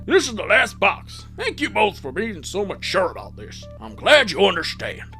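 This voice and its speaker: A hearty cartoon dad put on by a man explains things firmly but kindly. The voice is animated and encouraging, with lively pitch, and music plays clearly behind it. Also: normal speed, exaggerated voice.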